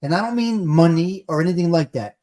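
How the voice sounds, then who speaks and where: A man's voice: a little high, expressive, brisk, dark tone. Plain-spoken New Jersey man hosting. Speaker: livestream host. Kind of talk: casual chat.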